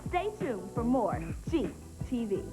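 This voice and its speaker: A woman's voice: on the high side, very animated, a little slow. A woman announcing upcoming TV segments from a script. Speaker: TV show announcer. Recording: clear music under voice. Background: music.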